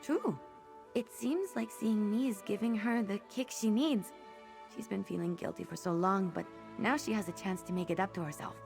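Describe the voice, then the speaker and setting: A woman voices a young reformed pickpocket from a fantasy game, loyal to her friends and openly heartfelt. The delivery is expressive and earnest at a middling female pitch, with music running underneath. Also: moderate pace, creaky, lightly acted. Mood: relieved, grateful. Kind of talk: video game character dialogue.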